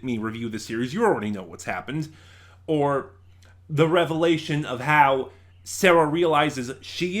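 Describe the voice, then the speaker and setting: A man giving a casual, enthusiastic review in a ranking countdown, speaking haltingly with emphatic stresses. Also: medium pitch, a bit bright. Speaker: reviewer and channel host.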